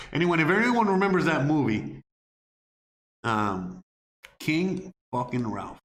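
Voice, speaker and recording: Miami guy on a livestream, cussing a lot, kinda high voice, echoey room. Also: big pitch swings, slow pace, a bit bright, a little hiss or hum. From Miami, United States. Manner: blunt, profane, rambling with many fillers. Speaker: livestream host answering viewer questions. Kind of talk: casual live Q&A chat. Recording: roomy echo.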